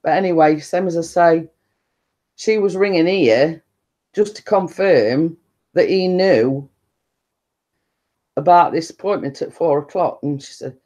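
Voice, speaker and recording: A woman with a deep-toned voice, chatting casually and rambling to her viewers on a live stream. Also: unhurried, long pauses, mellow. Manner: chatty, meandering, repeats stock phrases and laughs often.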